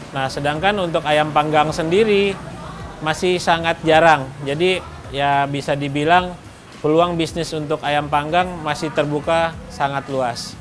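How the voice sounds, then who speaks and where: A man speaking as a restaurant co-owner in an interview, explaining things in a measured, halting way. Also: on the high side, fairly bright tone. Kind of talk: interview talk, explaining a business.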